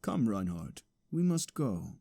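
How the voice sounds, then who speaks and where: A man voicing a restrained, mock-sensual storytelling host in a soft, hushed tone. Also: low voice, sing-song, takes it slowly, creaky, voice pushed down, exaggerated voice. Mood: hushed, sultry. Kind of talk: mock-sensual podcast hosting.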